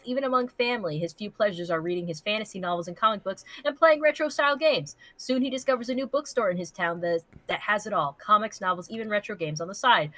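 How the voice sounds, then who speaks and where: A woman co-hosting a livestream with casual banter and commentary, animated, blunt and sweary. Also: medium pitch, no echo. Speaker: co-host of a livestream.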